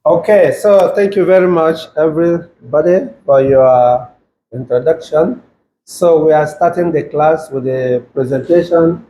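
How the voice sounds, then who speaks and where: A male lecturer with a slightly high, mellow voice politely moves the class along. The recording is echoey and roomy, with faint noise. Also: a little slow. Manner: polite, thanks students. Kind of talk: classroom hosting.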